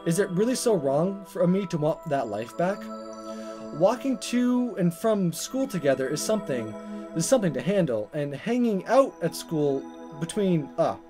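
A man reading a visual novel aloud as a let's player, in expressive narration with casual asides and stumbles that he corrects. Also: on the high side. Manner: animated, stumbles over words and corrects himself.

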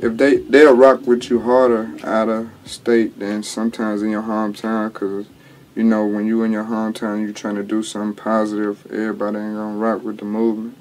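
A man's voice: lowish voice, monotone, brisk, a little mellow, slight background noise, low-quality recording. A twenty-year-old man, a rapper, answering interview questions in a laid-back, drawling way with lots of slang and restarts, in a small home studio. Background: music bed under the talk.

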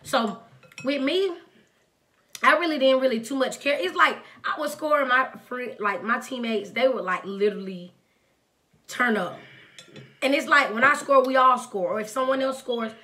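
A young woman with a raspy voice, a bit sick and clearing her throat, chatting casually at a table while eating. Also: high voice, normal speed. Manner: relaxed storytelling. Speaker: mukbang co-host. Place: indoor home studio.

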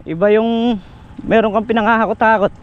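A man's voice: sits high, fairly even intonation, brisk, highs cut off. A man with a Filipino accent chatting informally as he narrates a casual farm vlog outdoors on an action camera. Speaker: farm vlogger. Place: open farm field by water, outdoors. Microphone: handheld action camera. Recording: windy, noisy outdoor action-camera audio.

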